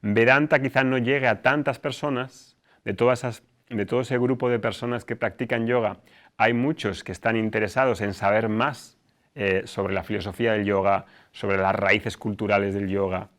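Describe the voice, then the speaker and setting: A man hosting and introducing a student discussion, explaining things informally and somewhat haltingly. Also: on the low side, fairly even intonation, fairly bright tone. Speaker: host, teacher.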